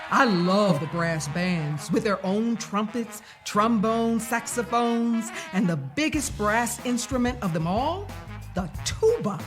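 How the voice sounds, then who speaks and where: A woman reading a children's story aloud in the first person, with deliberate, expressive storybook delivery. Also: medium pitch. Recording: music playing behind the voice. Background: music.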